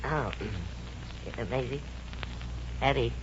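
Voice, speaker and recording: An actor playing a bashful, awkward, friendly fellow in a radio comedy, speaking with a hesitant stammer. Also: male voice, mid-range voice, fairly even intonation, slow pace, close to an everyday voice. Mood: embarrassed. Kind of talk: 1950s radio comedy acting. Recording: muffled, noisy old radio recording.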